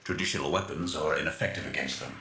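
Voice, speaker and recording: A man acting as an analytical tech strategist for a resistance crew, explaining in a precise, measured way in a video game. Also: extremely low pitch, gravelly, sharp tone, slight background noise, full character voice. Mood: matter-of-fact. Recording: echoey, music underneath. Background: music.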